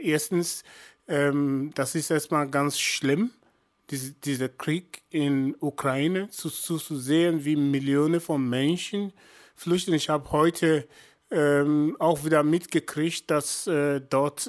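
Slow, halting man in his fifties, from Nigeria. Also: fairly high pitch. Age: 50s. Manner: halting, many fillers and restarts. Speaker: guest, journalist and activist. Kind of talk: podcast interview answers.

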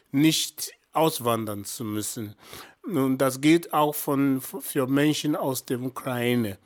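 Man in his fifties from Nigeria, podcast guest; slow, hesitant delivery. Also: mid-range voice. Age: 50s. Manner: halting, many fillers and restarts. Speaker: guest, journalist and activist. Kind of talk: podcast interview answers.

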